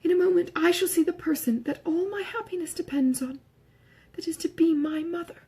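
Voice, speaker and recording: Excitable lovestruck young woman for a classic-novel audiobook, put on by a woman narrator: high, breathy, nervous. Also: fairly quick. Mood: nervous excitement.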